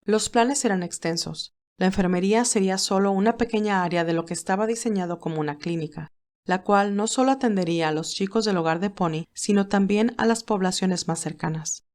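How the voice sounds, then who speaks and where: A female narrator with a medium-pitched voice. She reads scripted fiction with measured, expressive delivery, as in an audiobook.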